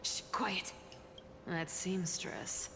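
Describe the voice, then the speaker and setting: A woman voicing a sly, playful thief demigod in a video game, teasing and guarded, with breathy asides. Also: on the low side, fairly even intonation, drawn-out words, in a whisper. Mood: sly, sneaky.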